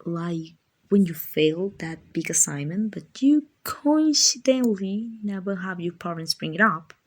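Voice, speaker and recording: Girl doing a sweet doting angel for asmr roleplay, soft n breathy, really slow, kinda rambly and apologetic. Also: lowish voice, animated. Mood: fond, explaining. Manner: apologetic, rambling, hesitant, affectionate. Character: gentle, doting, slightly clumsy guardian angel.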